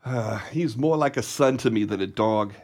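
A man's voice: fairly low pitch, normal speed, a little mellow, natural voice. An actor playing a saloon owner who coaxes and haggles as he sells a dog in a radio play. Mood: coaxing. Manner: coaxing, haggling. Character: wheedling saloon owner selling his ugly dog.

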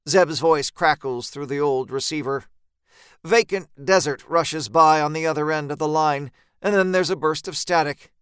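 A man narrating a first-person horror story in a measured, tense, dramatic audiobook style, recorded close in a dry space. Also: a little high, fairly bright tone, clean tone, no echo.

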